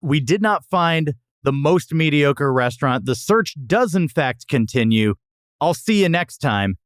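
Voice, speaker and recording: A man's voice: on the high side, expressive, a little slow, smooth, no room sound, studio quality. A man hosting a podcast in a casual, bantering conversational style. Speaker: podcast host. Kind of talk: casual bantering review chat.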